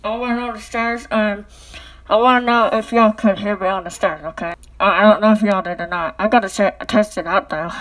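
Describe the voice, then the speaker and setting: A woman's voice: mid-range voice, fairly even intonation, fairly quick, a bit bright, rough recording. A woman vlogging casually at home into her phone, talking through a cardboard tube and ending nearly every sentence with a questioning "okay?". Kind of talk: rambling casual vlog talk. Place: indoors at home.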